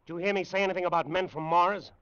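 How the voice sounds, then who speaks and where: Intense, high-pitched air force pilot. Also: male voice, steady tone, very fast, a bit bright, clean tone. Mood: agitated, insistent. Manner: urgent, insistent. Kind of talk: old-time radio drama acting. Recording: muffled, faintly noisy old radio recording.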